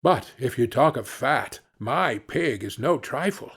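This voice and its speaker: Man playing a naive, animated storybook peasant lad. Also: medium pitch, measured pace, breathy edge. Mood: grateful, pleased. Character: naive, cheerful young peasant man, easily pleased.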